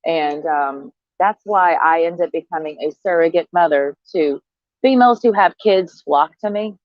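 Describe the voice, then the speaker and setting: A woman's voice: lowish voice, moderate pace, dry recording, muffled recording. A woman in her thirties vlogging in a brash, profane, sarcastic monologue, laughing often as she talks. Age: 30s. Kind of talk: casual monologue to camera.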